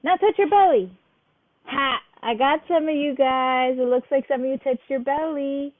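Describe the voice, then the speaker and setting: A woman's voice: high-pitched, moderate pace. A woman leading a game for small children, playfully addressing them directly as she gives instructions. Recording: thin phone-like audio.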